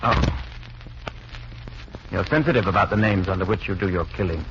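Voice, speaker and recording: A man's voice: low-pitched, normal speed, a bit bright. An actor playing a nervy, blunt man caught up in espionage, with clipped, urgent delivery in a vintage radio drama. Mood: sardonic. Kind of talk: 1940s radio drama acting. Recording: muffled, noisy old radio recording.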